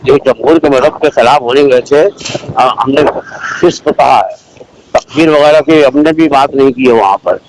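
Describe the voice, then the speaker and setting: Male mufti, middle pitch, defensive and stop-start in argument, on a mobile call with slight background noise. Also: darkish tone. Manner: halting, repetitive, defensive. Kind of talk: religious debate on a phone call. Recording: noisy phone call recording.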